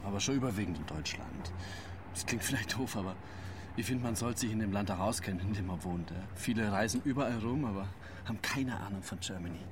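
A man's voice: on the low side, quick pace, close to an everyday voice. Actor as chatty male traveller amid vehicle noise. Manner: chatty, casual. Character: chatty, opinionated male train traveller from Berlin. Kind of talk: naturalistic radio drama dialogue. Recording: clear vehicle ambience noise.

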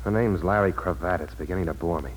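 A man's voice: low voice, fast talker, a little hiss or hum, natural voice, poor audio. A man playing a guarded, weary, self-doubting war veteran in a 1940s radio drama, with terse, downbeat speech.

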